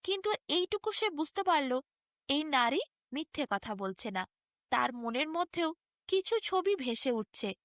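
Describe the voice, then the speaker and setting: A woman narrating a bedtime fairy tale as an expressive storyteller, with dramatic, drawn-out emphasis. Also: sits high, dry recording, studio quality.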